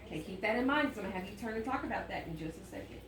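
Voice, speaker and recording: A woman's voice: mid-range voice, lively intonation, crowd noise. Woman teacher in an echoey, distant classroom recording. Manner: instructive, questioning, pauses to prompt children. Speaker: elementary school teacher. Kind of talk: interactive read-aloud to a class. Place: indoor classroom. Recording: echoey classroom, distant mic, faint noise.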